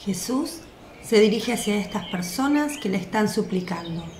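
A woman's voice: medium pitch, expressive, moderate pace, a little mellow. Echoey woman narrating a radio drama. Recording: echoey, faint noise with birdsong.

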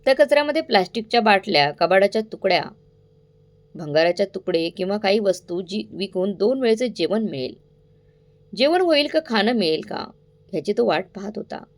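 A woman's voice: medium pitch, animated, brisk. A woman narrating a scripted story in a dramatic, suspenseful way, addressing listeners directly.

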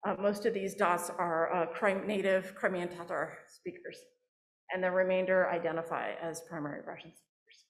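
A woman's voice: lowish voice, steady tone, normal speed. A woman professor giving a public lecture, explaining with frequent fillers and restarts from a podium microphone. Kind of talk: academic presentation. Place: lecture hall on stage. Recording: echoey lecture hall.

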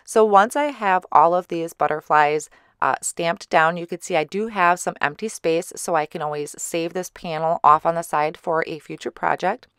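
A woman giving a chatty voice-over for a card-making tutorial, her voice slightly hoarse. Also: lowish voice, normal speed, no echo, studio quality. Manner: relaxed, chatty explaining with small fillers. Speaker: card-making channel host. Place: recorded separately as voice-over.

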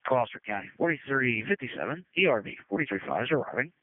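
A man's voice: mid-range voice, expressive, quick pace, no echo. A male firefighter making clipped reports over a two-way radio. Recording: tinny narrowband fire radio transmission.

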